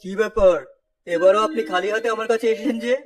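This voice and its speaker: A man's voice: high voice, fairly even intonation, fast talker, close to an everyday voice. A man playing a stern, fed-up bird trader in a children's cartoon, reproachful and demanding. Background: music.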